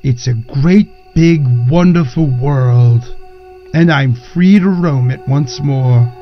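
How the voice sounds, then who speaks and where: An actor playing an ancient spirit in a possessed body, speaking with formal, archaic, menacing grandeur in a horror audio drama. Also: male voice, mid-range voice, slow, muffled recording, soft background music, lightly acted. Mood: exultant. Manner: formal, archaic, theatrical diction.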